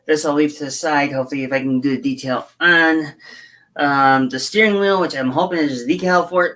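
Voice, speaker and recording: A hoarse woman chatting casually on a livestream as she builds a scale model, rambling and halting with frequent fillers. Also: extremely low pitch. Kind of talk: casual livestream chat while working.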